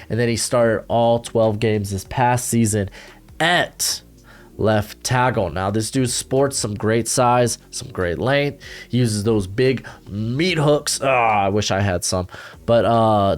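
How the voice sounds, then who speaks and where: A young man hosting his own football draft breakdown, talking loosely and joking, with slang and lots of fillers along the way. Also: medium pitch. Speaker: draft analyst host. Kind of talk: casual video commentary.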